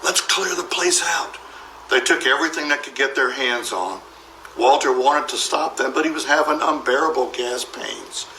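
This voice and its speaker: A man reading a children's story aloud in an expressive way, acting out the dialogue. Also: medium pitch, moderate pace, recorded from a distance. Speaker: storybook reader. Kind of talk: scripted children's story narration. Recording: roomy echo, faint background noise.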